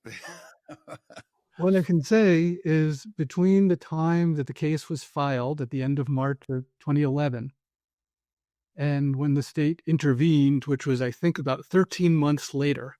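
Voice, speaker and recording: A man, a former prosecutor, answering interview questions in a careful, measured way with frequent "um"s. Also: on the high side, steady tone, mellow, no echo.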